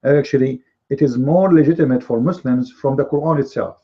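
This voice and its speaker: A man whose first language is Arabic, hosting a live online commentary show, with emphatic, repetitive speech and drawn-out stresses. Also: medium pitch, muffled recording.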